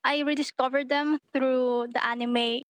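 A woman's voice: high voice, steady tone, unhurried, no echo. A young woman, a guest on a casual podcast, answering briefly and hesitantly. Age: young adult. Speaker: podcast guest, art director. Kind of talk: casual chat.

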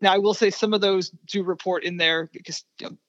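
A woman speaking as a researcher guest in an interview, explaining findings in a measured way. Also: medium pitch, steady tone, fairly bright tone, no room sound, lo-fi sound.

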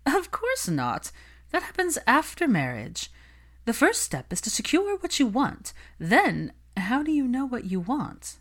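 Casting: female narrator as young society gossip, smug and affected, raised lively voice, audiobook character. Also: a little high, measured pace, pitched above the performer's own voice, heavily acted. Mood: smug, confident. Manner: affected, bright, confiding. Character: young society gossip, gushing, smug, husband-hunting witch.

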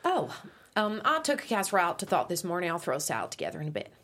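A woman's voice: on the low side, huge pitch swings, racing, a bit bright, heavily acted. An actress reading a flustered middle-aged wife and mother at a script table read. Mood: evasive.

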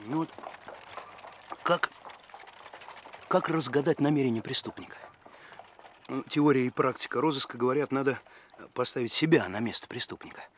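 An actor playing an earnest, kindly young police chief in a radio play, musing and explaining in a halting, thoughtful way. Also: male voice, mid-range voice, a little hiss or hum, lightly acted. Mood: pondering.

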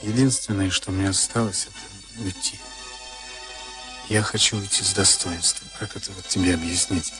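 Guy acting tired moody boxer in his thirties, old soviet radio drama, lowish voice, music in back. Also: fairly even intonation, moderate pace, natural voice. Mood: weary, earnest. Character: moody, weary 36-year-old professional boxer and former prisoner. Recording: archival radio recording with music behind.

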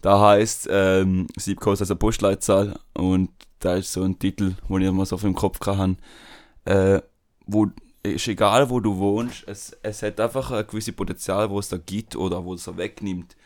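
A man's voice: deep voice, normal speed. Slangy young Swiss German man. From Switzerland. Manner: relaxed slangy storytelling with frequent fillers. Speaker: podcast host. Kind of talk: casual chat.

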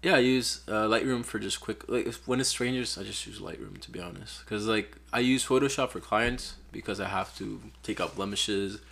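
A man's voice: fairly low pitch, moderate pace, vocal fry, hardly any pauses. A man in his twenties from Peru, a photographer chatting casually in a podcast interview, rambling with lots of "like" fillers. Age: 20s. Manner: relaxed, rambling, many "like" fillers. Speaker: photographer guest.

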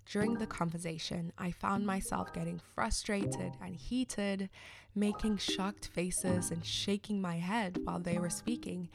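A young woman from Zimbabwe speaks in a lowish, gravelly voice with a candid, measured tone. She delivers a scripted personal reflection as a podcast host. A loud music bed plays under her. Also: fairly even intonation. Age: young adult.

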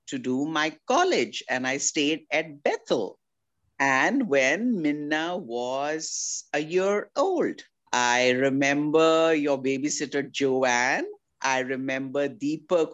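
Woman in her 60s, from India, unhurried delivery, affectionate, family interview guest. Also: a bass voice, dull audio. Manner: affectionate, calls people darling.

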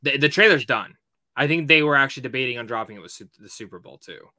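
Very bright, forceful male podcast voice. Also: medium pitch, fast talker. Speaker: podcast co-host. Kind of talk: casual chat about movies.

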